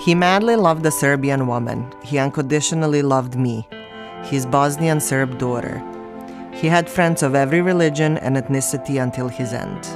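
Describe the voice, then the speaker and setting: A woman with a gravelly, creaky voice narrates in an earnest, reflective way. Loud music plays under her voice-over. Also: very deep voice, normal speed. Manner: earnest, heartfelt. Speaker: documentary narrator.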